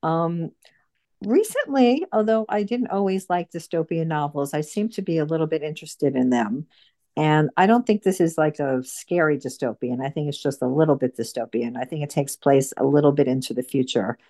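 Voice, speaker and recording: A woman hosting an informal book group discussion, speaking haltingly and often repeating her phrases. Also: low-pitched, animated, mellow.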